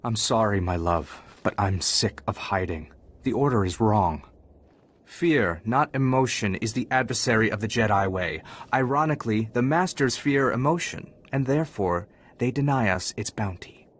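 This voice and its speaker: An actor playing a lovestruck, defiant young Jedi student in a video game, earnest and declamatory. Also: male voice, on the low side, animated, normal speed. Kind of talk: scripted video game acting.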